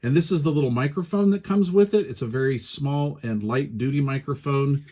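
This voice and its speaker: Casual man demonstrating gear, halting and informal. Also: mid-range voice, warm tone. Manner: informal, hesitant step-by-step explaining. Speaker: radio gear reviewer. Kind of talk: casual hands-on product review.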